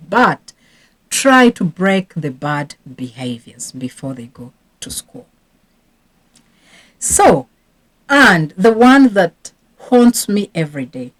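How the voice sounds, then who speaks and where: A woman from Rwanda, a teacher and parenting coach, talking casually and earnestly to camera about her own parenting regrets. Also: lowish voice. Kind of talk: casual advice talk to camera.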